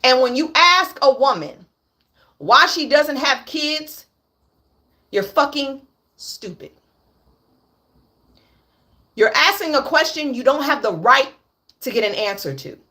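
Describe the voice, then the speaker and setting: A woman's voice: sits high, lively intonation, takes it slowly, pauses often, sharp tone. A woman in her late thirties speaking on a candid livestream, blunt and profane, repeating her points for emphasis. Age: 30s. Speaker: livestream host.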